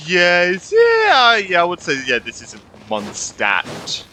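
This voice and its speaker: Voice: mid-range voice, wildly swooping pitch, slow, bright, forceful tone, slight background noise. A game streamer giving casual, effusive live commentary, slangy and prone to swearing. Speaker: streamer playing a video game.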